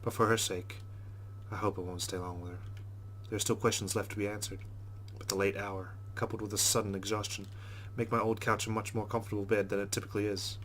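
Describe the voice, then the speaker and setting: A man with a lowish voice reads a visual novel aloud at a brisk clip. He is expressive and slips into casual commentary as he plays. A faint noise sits under the voice. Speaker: let's play host.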